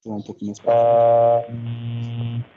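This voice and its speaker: A young Brazilian man giving an informal explanatory presentation as a student, often halting to search for words. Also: medium pitch, monotone, phone-quality sound, faint music behind. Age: young adult. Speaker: student presenting final project.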